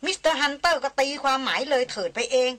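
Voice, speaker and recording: A woman reading the part of a calm young man on a hunting trek, speaking evenly and dryly. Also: sits high, slow, pitched above the performer's own voice, full character voice. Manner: calm, even, dry.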